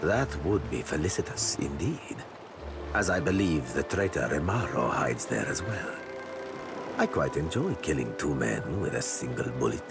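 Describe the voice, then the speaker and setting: An actor playing a suave, pompous gentleman pirate captain in a video game, speaking formally with flowery, calculating courtesy. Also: extremely low pitch, big pitch swings, normal speed, music in the background, heavily acted. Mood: smug, pleased. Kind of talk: video game character dialogue.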